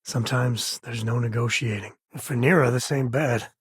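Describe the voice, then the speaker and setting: Video game role: wry frontier spacefarer and father, middle-aged, mid-pitched, slightly rough husky voice, colloquial and easygoing. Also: moderate pace, no room sound, clean studio sound. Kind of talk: video game character dialogue.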